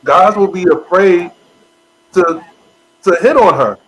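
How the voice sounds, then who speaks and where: A man co-hosting a casual wrestling podcast, talking in an animated way and addressing wrestlers directly. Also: high voice, slow, husky, poor audio. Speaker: podcast co-host. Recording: faint background noise.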